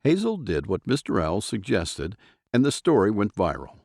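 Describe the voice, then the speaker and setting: A man's voice: lowish voice, wildly swooping pitch, moderate pace, a little mellow. A man narrating a scripted story in a theatrical, suspenseful storyteller's manner.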